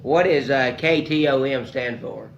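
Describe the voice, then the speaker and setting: A man's voice: medium pitch, unhurried, gravelly, muffled recording. A man in his thirties interviewing a guest, hesitant and losing his train of thought between questions. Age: 30s.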